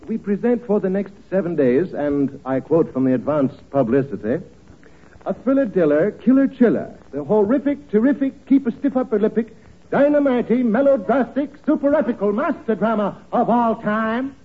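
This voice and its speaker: An actor playing a pompous, sly theater manager with florid, overly genteel speech in a 1940s radio comedy. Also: male voice, high-pitched, lively intonation, normal speed, breathy edge. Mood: grandiose showman. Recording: scratchy, tinny 1940s radio recording.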